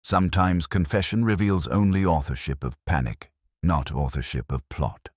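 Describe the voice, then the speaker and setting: A man voicing a calm, unhurried, gently authoritative police inspector in a mystery audiobook. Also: low voice, dry recording, clean studio sound. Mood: questioning, measured. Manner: calm, measured, philosophical. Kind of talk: mystery audiobook character dialogue.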